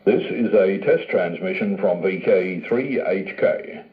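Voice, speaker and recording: A man's voice: deep voice, slow pace. A man giving a station identification announcement, recorded off the radio with a muffled sound. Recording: muffled off-air radio, faint noise.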